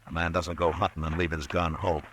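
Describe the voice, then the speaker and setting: An actor playing a steady, firm frontier marshal in an old radio drama, speaking in terse, measured lines. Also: male voice, low voice, slight background noise. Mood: commanding. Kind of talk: 1950s radio drama acting.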